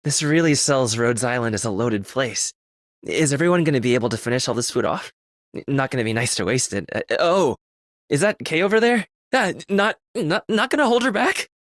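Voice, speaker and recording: A man's voice: mid-range voice, lively intonation, a little fast, no echo, clean studio sound, lightly acted. An actor playing a hesitant, fretful young man in a game, stammering as he speaks. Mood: uneasy, surprised.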